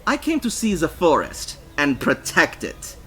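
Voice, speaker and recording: A man's voice: fairly high pitch, huge pitch swings, slow pace, a bit bright, voice pushed up, heavily acted. A man voicing a polite, earnest elderly androgynous activist with a German accent, in a narrated story. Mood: deadly serious.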